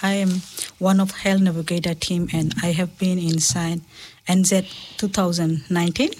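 A woman's voice: fairly low pitch, little pitch movement, moderate pace, a little mellow. A woman from Myanmar answering radio interview questions as a guest, plain-spoken and stumbling now and then. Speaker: health navigator guest.

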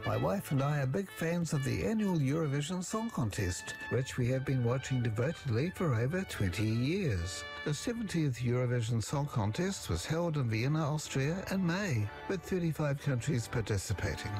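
A man's voice: medium pitch, lively intonation, normal speed, hardly any pauses. A man hosting a community radio show, reading a scripted promo in an upbeat announcing manner. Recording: music bed behind voice.

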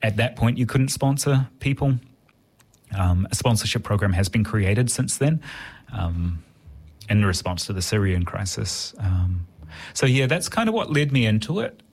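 Kiwi guy w a fairly low voice, writer being interviewed, thoughtful chatty answers. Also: expressive, normal speed, slightly rough. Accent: New Zealand. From New Zealand. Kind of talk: reflective interview conversation.

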